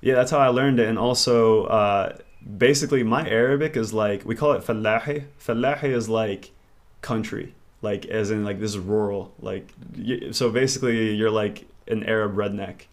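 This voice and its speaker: A man's voice: lowish voice, moderate pace. A man, talking as an interview guest in a rambling, reflective way. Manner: rambling and reflective, thinks aloud. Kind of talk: casual interview conversation.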